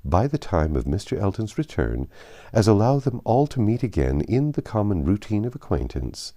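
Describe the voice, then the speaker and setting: A man reading a classic novel aloud as an audiobook narrator, with measured, expressive phrasing and drawn-out emphasis. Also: on the low side.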